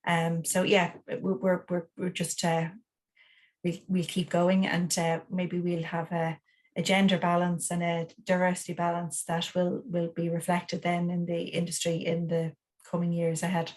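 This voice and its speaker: Hesitant woman with a low, flat voice. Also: unhurried. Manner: hesitant, many restarts and fillers. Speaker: award finalist, company representative. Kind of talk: online panel interview.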